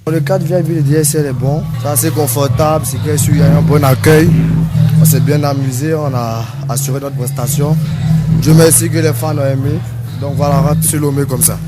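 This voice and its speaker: A man's voice: fairly high pitch, fairly even intonation, moderate pace. A man, a dancer, giving a modest, plain interview after his performance. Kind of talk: casual interview at a concert venue. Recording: loud music behind voice.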